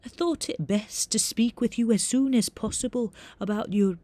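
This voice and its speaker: A woman's voice: fairly high pitch, warm tone, dry recording, voice pushed up, lightly acted. A woman voicing a stern, formal older female teacher with a reedy Scottish accent in an audiobook. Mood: grave, firm. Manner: prim, measured, formal.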